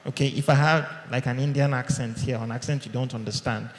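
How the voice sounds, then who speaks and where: A man's voice: mid-range voice, fairly even intonation, fairly quick, slight background noise. A man giving a conversational live tech talk to an audience, often checking in with "okay?", recorded on a mic in a large hall. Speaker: tech conference speaker. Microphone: handheld or podium mic. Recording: echoey hall with long reverb.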